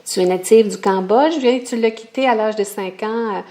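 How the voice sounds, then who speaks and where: A woman with a Québécois accent hosting an interview, chatty and halting with many fillers. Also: fairly high pitch, mellow. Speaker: host.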